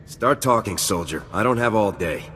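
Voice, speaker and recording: An actor playing an insolent, ruthless commando lieutenant in a video game, speaking in a curt, hard, contemptuous manner. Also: male voice, fairly low pitch, animated, normal speed, fairly bright tone. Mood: hard, impatient. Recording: long reverb with faint noise.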